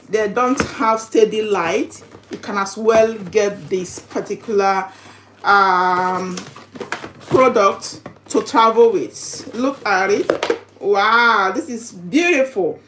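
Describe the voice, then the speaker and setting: Slow, higher-voiced woman vlogger, halting delivery. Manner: halting, repetitive, explanatory. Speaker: vlogger presenting product unboxing. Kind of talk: casual unboxing vlog chat.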